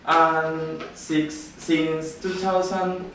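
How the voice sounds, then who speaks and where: A man from Ecuador with a Spanish accent gives an informal classroom presentation in halting, non-native English, often checking with a short tag question. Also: fairly high pitch, level tone. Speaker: student presenter, football coach. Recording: very echoey room, distant mic, noisy.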